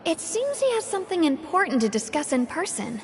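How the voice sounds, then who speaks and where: A woman's voice: very high-pitched, sing-song, a little fast, a little hiss or hum, heavily acted. A woman voicing a polite, businesslike messenger in a video game, with formal phrasing.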